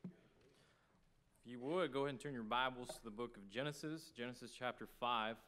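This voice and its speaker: A man's voice: medium pitch, moderate pace, bright, forceful tone, slight background noise. A man with a Texan accent preaching an evening church sermon in a folksy, conversational way. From small town in Texas, United States.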